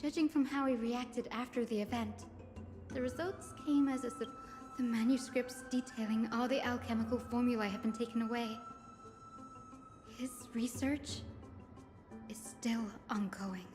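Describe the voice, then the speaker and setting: Woman voicing a high, earnest young game heroine. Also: steady tone, measured pace, lightly acted. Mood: worried, realizing. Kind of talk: video game voice acting. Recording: reverberant, loud game music behind. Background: game music.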